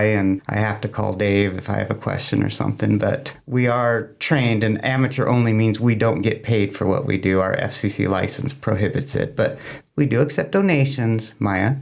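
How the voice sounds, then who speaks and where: Man, creaky gravelly voice, folksy plain-spoken delivery, informal interview guest, rambling explanations. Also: on the low side. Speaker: amateur radio club member, guest.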